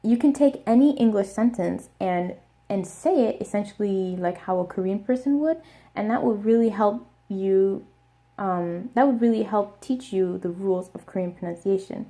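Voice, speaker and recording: A young woman with an American accent explaining pronunciation step by step in a casual video lesson, repeating words slowly. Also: medium pitch, dark tone. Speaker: language teacher.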